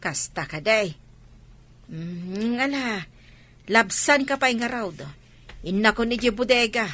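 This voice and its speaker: A woman's voice: a little high, animated, slow pace, a bit bright, full character voice. A woman acting a gossipy, mocking warehouse worker in an audio drama. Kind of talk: dialogue in an Ilocano audio drama.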